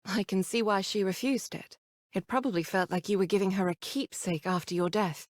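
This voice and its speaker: An actress playing a composed, caring young woman who leads a squad in a video game, measured and gently reasoning. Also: mid-range voice, no echo, studio quality, close to an everyday voice. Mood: gentle, earnest.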